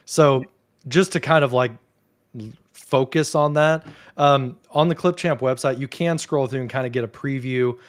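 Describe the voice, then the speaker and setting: A male host leads a casual conversation in a relaxed, chatty way. He leans on "like" and "you know" as he explains things, with a voice of ordinary middle pitch. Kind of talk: casual chat on a video call.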